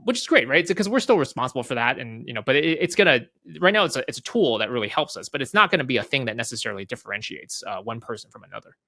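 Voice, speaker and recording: Man, interview guest, medium pitch, dry close sound, conversational explaining, halting repetitions, frequent tag questions. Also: a bit bright, no room sound. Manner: halting, repeats words, frequent "like" and "right?" tags. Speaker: interview guest, head of product at a software company.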